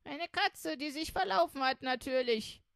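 A woman's voice: high-pitched, measured pace, creaky, fairly bright tone, no room sound, studio quality, pitched above the performer's own voice, full character voice. A woman doing a cool, indifferent robber cook.

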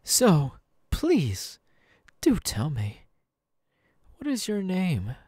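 A man voicing a suave, falsely sympathetic demon in a theatrical ASMR roleplay, smug and genteel with drawn-out pauses. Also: sits high, wildly swooping pitch, darkish tone, breathy edge, no echo, studio quality, heavily acted. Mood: smooth, curious.

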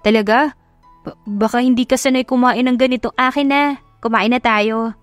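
A woman's voice: fairly high pitch, fairly even intonation, moderate pace, no echo, faint music behind, pitched above the performer's own voice, lightly acted. Woman voicing a humble, earnest young man, dry sound. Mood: apologetic, hesitant. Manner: apologetic, earnest.

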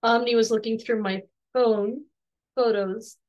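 Slightly hoarse woman gently interviewing. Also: fairly high pitch, steady tone, unhurried, lo-fi sound. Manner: soft, encouraging, with frequent restarts and light laughs. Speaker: podcast host interviewing her son. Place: home room with bookshelves.

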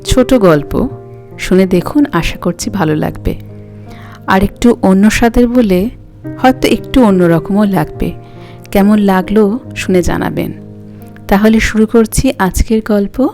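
A woman's voice: medium pitch, mellow. A woman narrating a story expressively as an audio story host, with music behind her.

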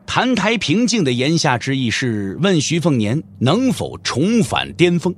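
A man's voice: on the high side, wildly swooping pitch, a little slow, breathy edge. A man narrating a Mandarin audio drama as a scripted story, theatrical and intense.

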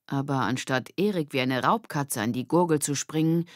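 A woman narrates a children's story in standard German. Her voice sits low for a woman. The sound is dry, with barely any room on it. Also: normal speed, dry recording. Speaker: audiobook narrator.

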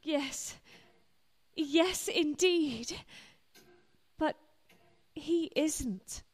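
A woman's voice: high-pitched, drawn-out words. A woman playing a dreamy, vain society wife in a radio play. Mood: lost, bewildered.

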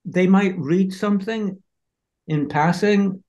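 An older man from Scotland, a film composer talking slowly and hesitantly on a video call from home. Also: high-pitched, darkish tone, lo-fi sound. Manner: slow, hesitant, many fillers. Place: home room.

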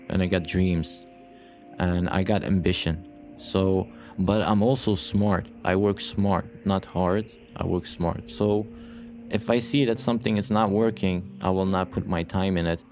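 A man with a low-pitched voice talks casually as host of a let's play. He rambles earnestly to his viewers and circles back to repeat phrases for emphasis. Guitar music plays clearly behind him. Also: darkish tone. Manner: earnest, addresses viewers directly. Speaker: gaming channel host.

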